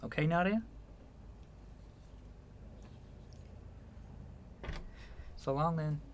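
A man's voice: on the high side, a little fast, slight background noise, natural voice. An actor in a radio play voicing an earnest, patient man who speaks hesitantly, with small stammers. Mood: reassuring. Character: earnest, patient man gently persuading a younger relative. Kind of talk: naturalistic radio play acting.

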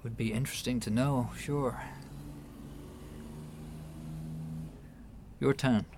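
An actor in a radio play voicing a guarded, wounded New York man with a measured, wry delivery. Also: medium pitch, steady tone, background noise, lightly acted. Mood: wry, prodding.

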